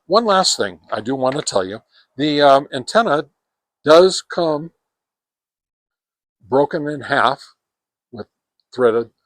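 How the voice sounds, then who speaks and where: A man doing a casual, plainspoken review of radio equipment, with frequent fillers and "all right?" tags. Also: medium pitch.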